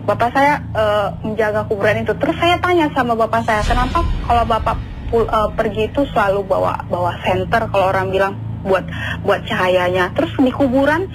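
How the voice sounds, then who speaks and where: A woman's voice: medium pitch, a little fast, low-quality recording. A woman from Medan with an Indonesian accent, calling into a radio show and telling a family story earnestly over the phone. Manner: earnest, repetitive, often addresses the host. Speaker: caller. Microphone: phone line. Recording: radio phone-in with music underneath.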